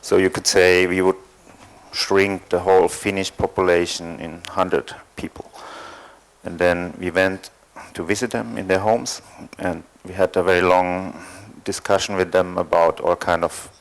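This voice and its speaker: A man from East Germany, speaking English, telling his story as a panel guest with many pauses and fillers. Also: sits low, level tone. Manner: hesitant, thoughtful, many fillers. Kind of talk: panel discussion, personal account.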